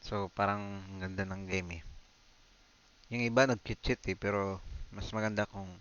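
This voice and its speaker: A man's voice: lowish voice, steady tone, normal speed, a bit bright, clean tone, highs cut off. A man with a Filipino accent giving casual live gameplay commentary in short, muttered remarks to himself. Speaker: game livestreamer. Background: game music.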